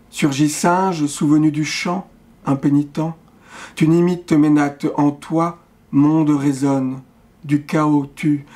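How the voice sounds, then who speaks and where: A man reading poetry as a poet would, in short, measured phrases set apart by pauses. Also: fairly high pitch, dark tone.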